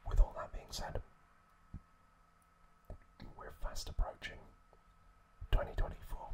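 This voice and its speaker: Male ASMR host. Whispered throughout, very slow and gentle, speaking straight to the listener. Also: low-quality recording. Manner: gentle, unhurried, softly spoken direct address to listeners.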